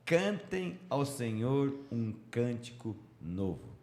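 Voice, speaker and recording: A man's voice: medium pitch, very animated, slow pace, a little mellow. A middle-aged Brazilian man hosting a Bible study, teaching conversationally and often checking for agreement with short tag questions. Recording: roomy, echoing, faint background noise.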